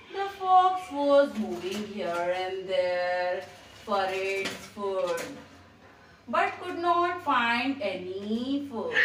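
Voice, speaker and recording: A woman telling a story to children at a puppet show, deliberate and expressive, often repeating her phrases. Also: on the high side. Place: indoor room with small puppet stage. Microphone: camera mic at a distance. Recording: roomy echo, distant mic, faint noise.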